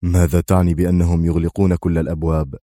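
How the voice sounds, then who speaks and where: A man's voice: low-pitched, fairly quick, mellow, dry recording, clean studio sound. A man voicing a cold, hard male agent in an audiobook, with an unemotional manner. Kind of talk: audiobook character voice.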